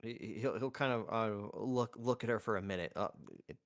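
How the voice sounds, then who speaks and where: A man with a fairly deep voice plays a tabletop roleplaying game. He talks casually and haltingly, feeling his way through what he wants to say. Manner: hesitant, searching for words.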